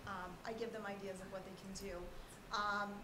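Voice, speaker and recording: A woman working as a school family support advisor, speaking loudly and earnestly as she explains her work at a public board meeting. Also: medium pitch, level tone, moderate pace, very noisy.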